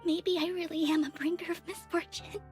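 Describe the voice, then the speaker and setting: An actress playing an anguished, guilt-ridden young woman in a video game, soft and breathy as she confides. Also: very high-pitched, little pitch movement, brisk, faint music behind. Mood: self-blaming sorrow. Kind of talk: dramatic video game voice acting.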